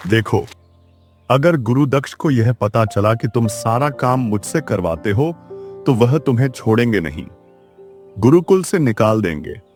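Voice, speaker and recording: A man's voice: mid-range voice, expressive, measured pace, a little mellow, soft background music, natural voice. A man voicing a poor, timid young student in a children's moral story, deferential and pleading. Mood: worried.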